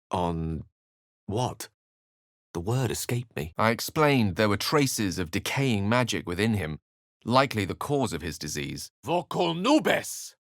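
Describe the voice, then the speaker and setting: A man's voice: on the low side, no echo, clean studio sound. A man voicing an eloquent, courtly wizard companion in a fantasy video game, speaking formally and theatrically. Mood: forceful incantation. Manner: articulate, formal, theatrical phrasing. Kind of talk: fantasy video game voice acting, dramatic narration and dialogue.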